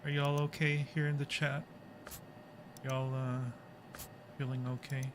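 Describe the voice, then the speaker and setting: A man's voice: medium pitch, level tone, measured pace, over loud music. A man hosting a casual live game stream, chatting playfully with viewers and muttering to himself in a jumpy way as he plays.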